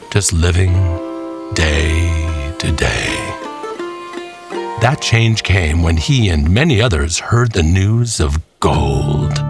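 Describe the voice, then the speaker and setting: Man, very deep voice, slow deliberate pace, folksy dramatic storytelling, scripted narration.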